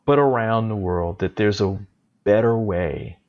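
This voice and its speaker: A middle-aged man hosting a panel talk, speaking slowly and reflectively with gratitude over a video call. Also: lowish voice, phone-quality sound. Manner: slow, grateful, reflective. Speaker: talk show host. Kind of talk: casual panel chat.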